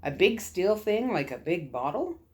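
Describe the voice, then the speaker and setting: A woman voicing a calm, kindly military lieutenant in a story, gentle and earnest. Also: on the low side, measured pace. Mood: hushed, serious.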